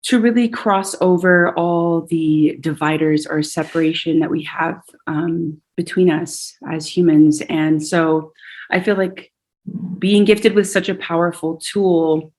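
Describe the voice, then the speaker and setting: A woman's voice: lowish voice, fairly even intonation, a little slow, darkish tone. A woman from Hawaii, a singer and yoga teacher, talking in an earnest, reflective way as a podcast guest. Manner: earnest and reflective, with fillers and restarts. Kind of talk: casual conversation.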